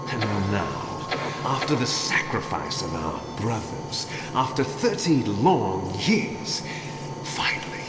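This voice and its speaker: Grandiose villain, sweeping delivery. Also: male voice, medium pitch, background noise. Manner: grandiose, deliberate, dramatic pauses. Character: theatrical villain twin brother, commanding, resentful, mocking. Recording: echoey reverberant game audio with music.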